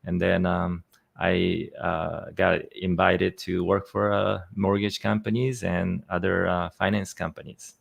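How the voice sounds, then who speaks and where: A man from South Korea in his fifties speaks English with a Korean accent. His voice sits low with a gravelly fry running through it. He talks in halting stretches, filling gaps with "uh" and restarting his phrases. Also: level tone. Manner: halting, many fillers and restarts, quick laughs. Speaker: guest, finance CEO. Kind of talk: casual interview.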